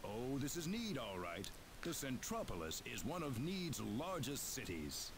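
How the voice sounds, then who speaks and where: A man playing a calm, courteous mayor in a video game, speaking formally and in a measured way over game music. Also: medium pitch, background noise. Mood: calm, solemn. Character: calm, courteous male mayor, a mysterious guide. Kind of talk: scripted video game voice acting.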